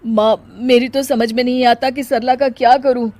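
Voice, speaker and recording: Woman putting on a high-pitched irritable young husband. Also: fairly even intonation, moderate pace, a little mellow, dry recording, natural voice. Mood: exasperated. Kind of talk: Hindi cartoon story acting.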